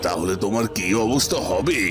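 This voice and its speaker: A man's voice: on the low side, lively intonation, brisk, over music, lightly acted. A man playing a boastful, menacing evil sorcerer in a cartoon, with theatrical, taunting delivery. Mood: gloating menace. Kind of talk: Bengali cartoon villain acting.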